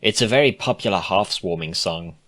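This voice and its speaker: A man's voice: low-pitched, brisk, fairly bright tone. A man voicing a defensive, laid-back female cartoon unicorn, grumbling with sardonic reluctance in a storybook reading. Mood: reluctant.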